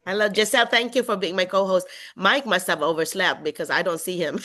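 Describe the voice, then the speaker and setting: A woman's voice: fairly low pitch, brisk, bright, forceful tone. A woman hosting a casual video call conversation in an encouraging, reassuring way. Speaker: host.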